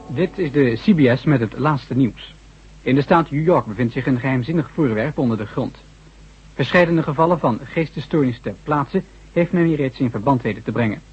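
Very husky male voice, medium pitch, sober newsreader, scripted bulletin, muffled vintage radio. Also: moderate pace. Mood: formal, serious. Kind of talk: scripted news reading in a radio play. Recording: dull vintage 1970s radio recording.

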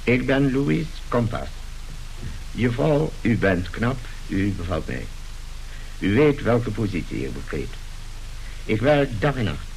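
A man's voice: lowish voice, sing-song, slow pace, gravelly, pauses often, exaggerated voice, rough recording. An actor playing a pompous, newly rich tycoon and father in a radio play, curt and businesslike. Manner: pompous, businesslike, clipped dictation. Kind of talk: radio drama acting. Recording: hissy old radio drama recording.

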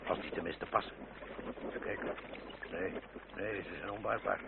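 An actor playing a wary old uncle who once soldiered, in a radio play, with a creaky, gruff delivery. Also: male voice, low-pitched, measured pace. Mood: grave, wary. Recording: very noisy, narrow old radio recording.

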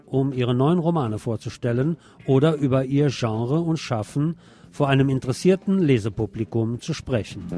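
Male news magazine presenter, relaxed mellow voice, wry scripted delivery, light music bed behind. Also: mid-range voice.

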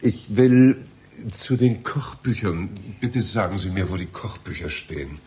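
Fussy bewildered reader, low voice, swooping intonation, pompous, grumbling, radio drama. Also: male voice, animated, unhurried, a little mellow. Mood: flustered, insistent. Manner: theatrical, pedantic, muttering to himself. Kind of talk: radio play acting.

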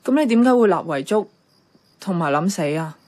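An actress playing a caring, affectionate and slightly evasive niece in a Hong Kong Cantonese radio drama, conversational and soft-hearted. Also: female voice, lowish voice, lively intonation, normal speed. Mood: concerned, evasive.

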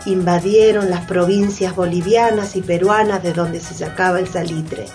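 A woman performing as the narrator of a satirical historical radio play, with measured, scripted storytelling. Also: on the low side, steady tone, darkish tone, soft background music, close to an everyday voice. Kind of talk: scripted dramatized narration in Spanish.

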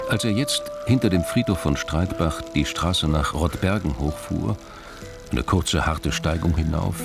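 A middle-aged man narrates a crime story in a deep, gravelly voice. Music plays clearly behind his scripted literary reading.

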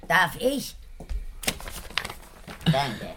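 A woman's voice: deep voice, very animated, drawn-out words, background noise, full character voice. A woman playing a reserved, timid servant and companion in a German radio play, answering tersely. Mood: reserved, demure.

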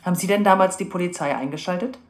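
Actress as slightly low-voiced female news presenter, echoey. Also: fast, close to an everyday voice. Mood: probing. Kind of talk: radio drama acting of a broadcast interview.

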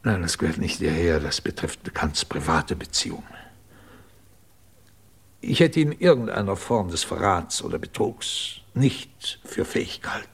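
Husky, blunt institute director. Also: male voice, low voice, lively intonation, a little slow, exaggerated voice. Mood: shaken, subdued. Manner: blunt, emotional, formal. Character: proud, blunt male head of an atomic research institute. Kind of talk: 1950s German radio drama acting.

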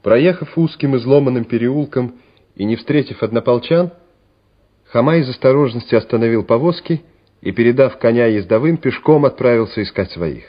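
A man's voice: mid-range voice, normal speed, breathy edge. A man narrating a radio play in a dramatic, scripted storytelling style. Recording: dull old radio drama recording. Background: music.

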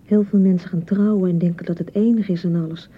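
A thin, band-limited radio play recording carries an actress as a young newlywed wife with a husky voice. She is insecure and easily offended, circling back on her reproaches with biting sarcasm. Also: on the low side, fairly even intonation, quick pace, lo-fi sound, close to an everyday voice. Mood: hurt, reproachful. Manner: nagging, sarcastic, repeating herself reproachfully. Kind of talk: naturalistic radio drama acting.

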